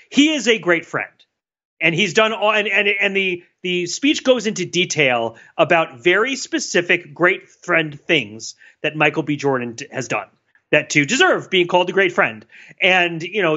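A man with a bright, forceful, slightly high voice, talking through ideas on a podcast. He rambles, restarts sentences and laughs at his own jokes. The audio sounds dull and lo-fi. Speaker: podcast co-host. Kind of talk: casual analytical podcast chat.

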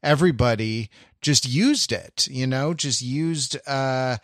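Male podcast co-host, mid-pitched, halting and wry in casual discussion, recorded very dry. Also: expressive, fairly bright tone, no room sound. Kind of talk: casual pop-culture discussion.

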